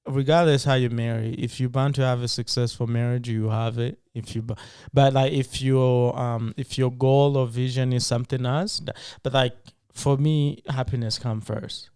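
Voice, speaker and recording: Burundian man, smooth resonant voice, probing and questioning, dry close mic. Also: mid-range voice, steady tone, moderate pace, few pauses, a little mellow, no room sound. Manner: probing, questioning, laughs easily. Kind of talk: casual podcast debate.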